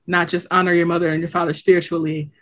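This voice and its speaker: A woman's voice: on the low side, flat delivery, fast talker. A young woman from Haiti with no trace of an accent, talking reflectively as a podcast guest, with many "like" fillers and frequent laughter. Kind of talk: casual conversation.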